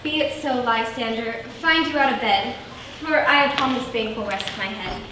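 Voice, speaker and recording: A woman's voice: a little high, moderate pace, lightly acted. A woman playing an earnest young lovelorn maiden in theatrical Shakespearean verse. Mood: tender, sleepy. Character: anxious young lovelorn maiden lost in a wood. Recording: very echoey, distant mic, faint noise.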